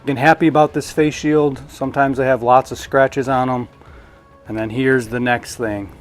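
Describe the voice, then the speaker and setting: Male reviewer, mid-pitched, chatty and unscripted hands-on unboxing delivery, light music underneath. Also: fairly even intonation, normal speed. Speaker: product reviewer.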